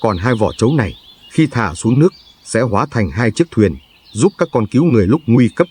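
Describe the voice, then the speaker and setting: A man's voice: medium pitch, sing-song, fairly quick, warm tone, husky. A man narrating a folk tale as a scripted story, in a solemn, emotive and dramatic manner.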